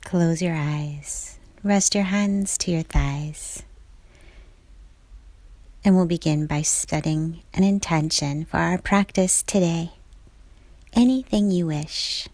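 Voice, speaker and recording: A woman leading a guided yoga class, giving calm, measured cues in short phrases, with a soft voice that turns breathy at phrase ends. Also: on the low side, dry recording. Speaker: yoga instructor.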